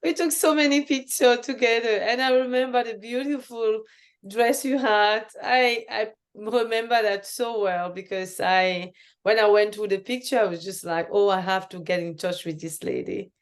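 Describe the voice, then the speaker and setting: A woman's voice: fairly high pitch, fairly even intonation, moderate pace. A woman originally from Cameroon, a native French speaker, hosting a casual interview and gushing with praise, often restarting her sentences. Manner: warm and effusive, with frequent restarts and fillers. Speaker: show host.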